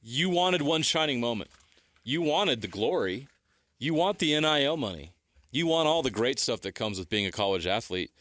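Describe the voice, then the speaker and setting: A man's voice: mid-range voice, big pitch swings, normal speed, fairly bright tone, no room sound. A middle-aged man co-hosting sports radio, making emphatic points by repeating and stacking phrases. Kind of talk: opinionated sports talk.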